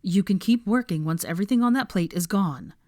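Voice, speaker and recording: Woman playing a blunt, animated, concerned young man. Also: mid-range voice, a little fast, no room sound, studio quality, lightly acted. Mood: stern. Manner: stern, blunt.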